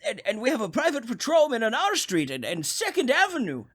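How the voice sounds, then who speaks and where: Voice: mid-range voice, wildly swooping pitch, a little fast, fairly bright tone. An actor playing a crotchety, nervous old male invalid who stammers insistently on the telephone, in old-time radio suspense style. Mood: anxious.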